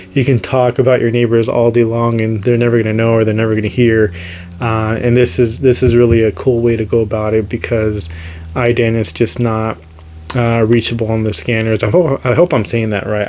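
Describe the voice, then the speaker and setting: American male hobbyist vlogger, medium pitch, narrow intonation, loose rambling delivery, unscripted and hesitant. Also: a little mellow. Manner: rambling, hesitant, thinking aloud. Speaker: radio hobbyist video host. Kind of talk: casual unscripted gadget review vlog.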